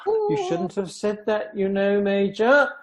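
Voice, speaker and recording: A man's voice: sits high, sing-song, takes it slowly, a loud crowd, full character voice. An arch country-house guest, played by a man in a radio play. The delivery is wordy and teasing, with very varied intonation and dry mischief. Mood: drily mischievous. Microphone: video call.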